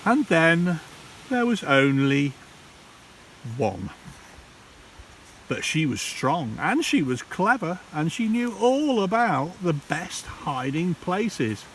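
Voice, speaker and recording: A man, a primary school teacher, reads a children's storybook aloud in a theatrical, deliberate way with dramatic pauses. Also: on the high side, sing-song. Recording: distant mic, hissy background noise.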